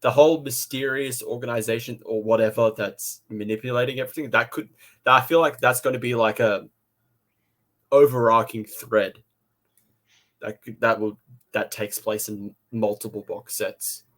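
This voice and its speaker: A man with a medium-pitched voice chats casually as a podcast co-host giving a review. He thinks aloud in halting phrases, often repeating his words before landing on the point. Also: fairly even intonation, fairly bright tone.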